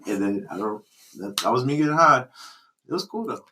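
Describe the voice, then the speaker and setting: A young man hosting a podcast, telling stories in lively, slangy casual talk. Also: mid-range voice, fairly quick. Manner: lively slangy storyteller, laughs often. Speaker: podcast host. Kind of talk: casual chat.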